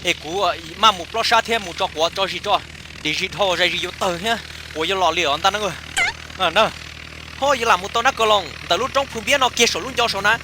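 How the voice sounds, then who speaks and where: A man's voice: high-pitched, fast talker, noisy background. A man performing casual, chatty comic dialogue for a cartoon.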